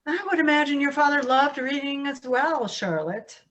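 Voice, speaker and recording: A woman in a staged play reading, playing a kindly, modest old woman with a gentle, genteel manner. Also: high-pitched, expressive, moderate pace, lo-fi sound. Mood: kindly, fond.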